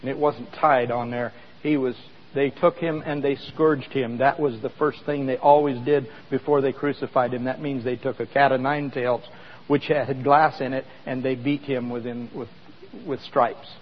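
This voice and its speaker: A man's voice: medium pitch, steady tone, normal speed, long reverb tail, dull audio. A man preaching a sermon in a conversational way, asking the congregation questions as he explains scripture. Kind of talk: sermon with live demonstration.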